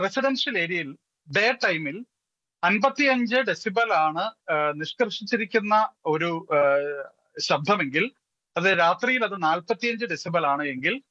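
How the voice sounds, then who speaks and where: Bright, high-pitched man, dull recording. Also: no room sound. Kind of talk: explanatory TV debate commentary.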